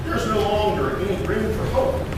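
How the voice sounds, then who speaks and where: An impassioned patriot statesman of the 1700s, played by a man, delivers grand, declamatory oratory in a high male voice. He takes his time, with weighty pauses. The sound is distant and very echoey, with clear noise throughout. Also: lively intonation. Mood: aggrieved, grave. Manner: declamatory, emphatic, deliberate pauses. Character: impassioned 18th-century American patriot statesman orating. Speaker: living historian performer. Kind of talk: dramatic historical oratory reading. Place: historic courthouse room. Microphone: distant microphone.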